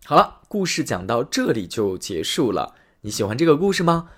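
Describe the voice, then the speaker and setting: A man narrating a fairy tale for a storytelling podcast, lively and expressive. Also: medium pitch, moderate pace, husky.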